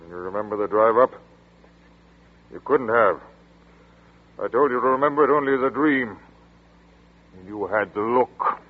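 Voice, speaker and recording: A man playing a scheming hypnotist murderer in a 1940s radio drama, speaking in a slow, soothing, commanding murmur. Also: medium pitch, natural voice. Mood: probing. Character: cold scheming male hypnotist murderer, middle-aged. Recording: tinny old 1940s radio broadcast.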